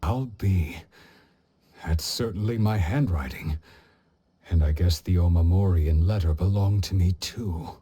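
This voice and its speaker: Slow, low, wistful older man. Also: warm tone, breathy edge. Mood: pensive. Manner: measured, pensive, sighing. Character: wistful older man, forgetful, gentle, resigned, reflective. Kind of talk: video game cutscene voice acting. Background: game music.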